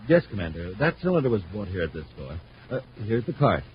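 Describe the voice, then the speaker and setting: An actor playing a helpful, obliging supply-store clerk in a 1950s radio drama, on an old recording. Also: male voice, fairly low pitch, sing-song, quick pace. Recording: muffled, noisy vintage radio recording.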